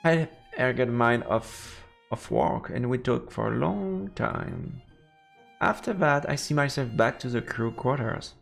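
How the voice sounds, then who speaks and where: A male streamer with a gravelly, creaky voice and lively, swinging intonation. He reads game text aloud and chats informally between passages, tripping on words now and then, with music behind him. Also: medium pitch, measured pace. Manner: informal, stumbling over read text. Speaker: let's play streamer. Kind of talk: live commentary, reading game text aloud.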